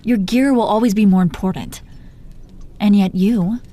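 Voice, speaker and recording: A woman playing a menacing yet tender, possessive supervillain in an intimate audio roleplay, speaking in a sultry, deliberate way with pet names for the listener. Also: medium pitch, mellow, close to an everyday voice. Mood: protective anger.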